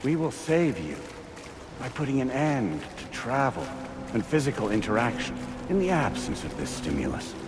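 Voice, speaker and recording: A man playing a calm, coldly paternal artificial intelligence in a video game, speaking in formal, measured, grandiose speeches over music. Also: mid-range voice, noisy background, close to an everyday voice. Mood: calm, commanding. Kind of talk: cinematic video game voice acting. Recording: music behind the voice.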